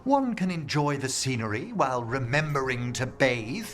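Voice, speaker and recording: An actor playing a courtly, fastidious older gentleman scholar in a video game, speaking tenderly in formal, precise phrases. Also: medium pitch, lively intonation, faint music behind, close to an everyday voice. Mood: genteel, good-humoured. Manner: genteel, formal, precise wording. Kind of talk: video game cutscene acting.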